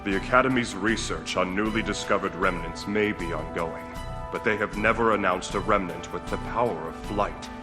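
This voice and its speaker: A man's voice: low voice, moderate pace, over music, lightly acted. A man acting a composed, dutiful military retainer in a video game, formal and level-headed. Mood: serious, composed.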